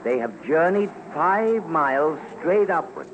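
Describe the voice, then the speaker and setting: A man's voice: a little high, animated, measured pace, narrow, tinny audio, background noise. A man narrating a scripted radio documentary as commentator, formal, theatrical and emphatic. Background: music.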